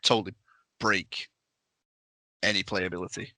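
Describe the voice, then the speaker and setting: A man with a slightly low, bright and forceful voice in a casual podcast conversation. He stresses his points and repeats them, acting out examples as he goes. The sound is very dry. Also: steady tone, measured pace, dry recording. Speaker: game master and podcast guest.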